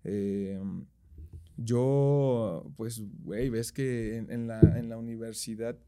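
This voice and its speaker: Dark-voiced Mexican man, slangy chat. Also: mid-range voice, slow, clean tone. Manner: slangy, relaxed, rambling with restarts. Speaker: guest, behind-the-scenes camera operator. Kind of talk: casual interview chat.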